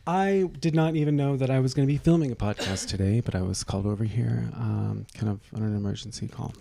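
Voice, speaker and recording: A man's voice: medium pitch, quick pace, gravelly, mellow, a little hiss or hum. A man co-hosting a podcast in casual comedic chat, with dry, snarky joking. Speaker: podcast co-host.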